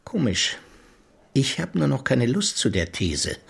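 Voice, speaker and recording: An actor playing a middle-aged senior police inspector in a German radio crime drama, polite, measured and gently ironic. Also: male voice, medium pitch, big pitch swings, creaky, husky, heavily acted. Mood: wry. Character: senior police inspector, courteous, wry and probing. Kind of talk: German radio play acting.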